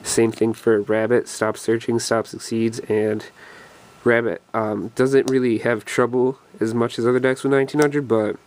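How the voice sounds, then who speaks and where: A man's voice: mid-range voice, flat delivery, moderate pace, a little mellow. A young man with a cold, sounding congested and short of breath, rambling through a card deck walkthrough with many ums and restarts. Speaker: deck profile video host. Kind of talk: casual game deck walkthrough.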